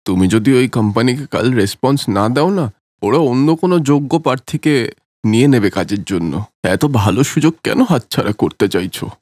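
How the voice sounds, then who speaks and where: A man's voice: mid-range voice, lively intonation, moderate pace, a little mellow, dry recording, clean studio sound, close to an everyday voice. A man voicing a proud, money-minded father in a dramatic cartoon story. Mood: insistent. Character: proud, money-minded older father pressing his son.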